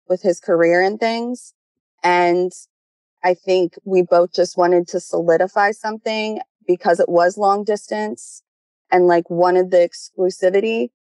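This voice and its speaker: A woman's voice: lowish voice, darkish tone, dry recording. A young adult woman calling in for advice, giving a casual, conversational account of her personal life. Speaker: caller seeking relationship advice.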